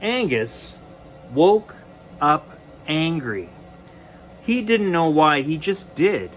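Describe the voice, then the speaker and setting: A man reading a children's bedtime story aloud expressively, with deliberate dramatic pauses. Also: on the high side, big pitch swings, rough recording.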